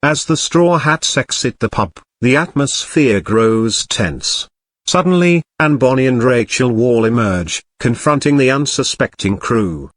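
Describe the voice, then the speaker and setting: A man's voice: mid-range voice, animated, unhurried, no room sound. Male narrator, mid-range voice, scripted audio drama storytelling, very dry sound.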